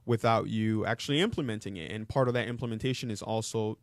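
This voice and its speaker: Low-voiced man from Congo, dry mic. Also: dry recording. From Democratic Republic of Congo. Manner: measured, with frequent ums and uhs. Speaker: guest. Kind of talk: interview conversation.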